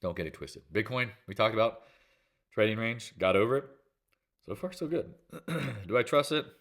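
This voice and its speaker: Very deep, congested American man. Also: animated, moderate pace, a bit bright. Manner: clipped, fragmentary phrases, colloquial slang. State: congested with a head cold, clearing throat. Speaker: channel host, trader and real estate agent. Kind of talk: market recap commentary, casual.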